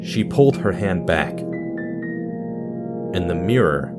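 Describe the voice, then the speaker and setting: A man narrating a scripted story as a dramatic storyteller, with deliberate pauses and direct address to the listener. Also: low-pitched, animated, over loud music.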